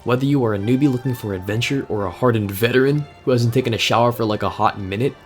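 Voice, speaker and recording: A man's voice: medium pitch, quick pace, soft background music. Wry young man giving a speech. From United States. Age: young adult. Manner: casual and wry, slipping into slang and mock-dramatic asides. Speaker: student giving a class speech. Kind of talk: recorded speech, scripted but jokey.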